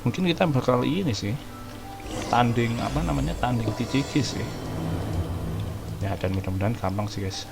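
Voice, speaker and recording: A man's voice: mid-range voice, fairly quick, vocal fry, pauses often, over loud music. A young man with an Indonesian accent giving casual, slangy let's play commentary. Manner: informal, slangy. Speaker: gaming YouTuber.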